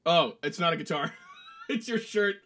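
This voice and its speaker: A man's voice: on the high side, normal speed, a bit bright. A man with an American accent chatting casually and teasingly as a co-host on a podcast.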